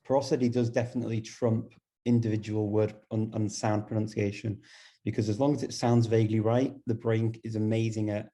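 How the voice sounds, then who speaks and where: Man, very dark mellow voice, conversational host, video call. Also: fairly low pitch, brisk. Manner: asks questions in a relaxed conversational way. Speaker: conference host. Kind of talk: interviewing over video call.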